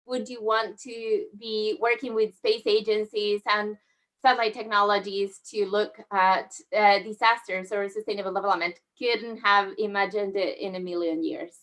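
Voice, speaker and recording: A middle-aged woman from southern Spain talks an online audience through her slides. She speaks in a friendly, measured way, with plenty of small fillers, in a voice of middling pitch. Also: steady tone, fairly bright tone. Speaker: guest speaker. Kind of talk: presenting a talk over slides. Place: online video call.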